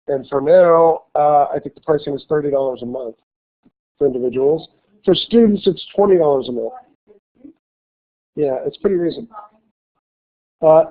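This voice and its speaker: A male college instructor giving a classroom lecture with a slight cold, talking haltingly with many fillers and restarts. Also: on the high side. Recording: tinny phone-like lecture recording.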